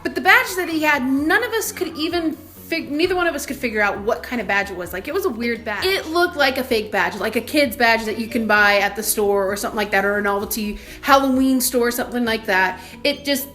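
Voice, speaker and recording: A young woman vlogger telling a personal story in a casual, animated and emphatic, indignant way. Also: a little high, a little fast, hardly any pauses, soft background music.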